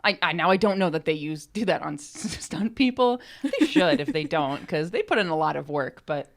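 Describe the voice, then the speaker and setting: Low-voiced woman podcaster, informal and rambling. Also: sing-song, normal speed. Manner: informal, rambling, self-deprecating. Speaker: podcast co-host and co-writer. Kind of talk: casual explanatory chat. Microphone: podcast microphone.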